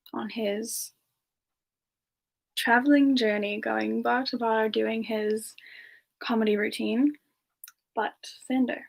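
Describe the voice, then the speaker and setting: Siren ice spirit played by a woman: bashful, hesitant, breathy, slightly high, intimate ASMR roleplay delivery. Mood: wistful, measured. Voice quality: soft, breathy, slightly creaky. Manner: slow, hesitant, reminiscing with pauses. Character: bashful, soft-spoken female siren ice spirit, bar singer. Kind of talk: semi-ASMR in-character storytelling.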